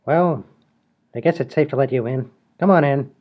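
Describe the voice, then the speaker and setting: A man's voice: mid-range voice, moderate pace, lightly acted. A man voicing a brash, scheming small-time crook in a comedy radio play. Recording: dull lo-fi audio.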